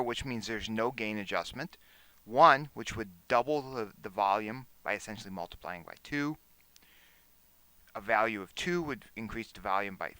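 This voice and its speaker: A man speaking as an instructor, explaining a software demonstration in a measured, instructional way. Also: mid-range voice, clear, resonant tone, dry recording, poor audio.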